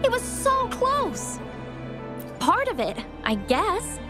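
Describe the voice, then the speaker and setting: Excitable, high-voiced young eyewitness. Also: female voice, takes it slowly, over music, heavily acted. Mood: rattled, excitable. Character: excitable, frightened young female bystander witness. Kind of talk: video game voice acting.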